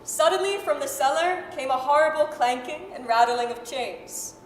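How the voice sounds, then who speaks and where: A woman narrating a radio drama as a measured, articulate, dramatic storyteller. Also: high voice, close to an everyday voice. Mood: storytelling. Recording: echoey hall, faint noise.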